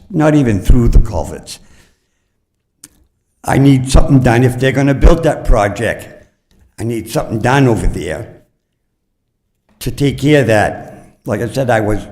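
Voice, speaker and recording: A man's voice: medium pitch, a little mellow. Older man, plainspoken and earnest. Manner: plainspoken and earnest, a bit rambling. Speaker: resident giving public comment. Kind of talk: unscripted public comment. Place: city council chambers.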